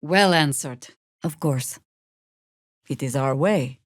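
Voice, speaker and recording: A woman's voice: deep voice, dry recording. An actress playing a world-weary older female druid warrior in a video game, dry, wry and commanding. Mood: weary, sardonic. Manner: dry, wry, measured and grave.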